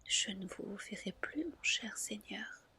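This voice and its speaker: A woman's voice: medium pitch, animated, takes it slowly, pushed and bright, slightly rough, full character voice. A woman voicing a lonely, devoted fairy wife in a whispered storybook reading, plaintive and formal. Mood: sorrowful. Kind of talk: whispered ASMR storybook dialogue.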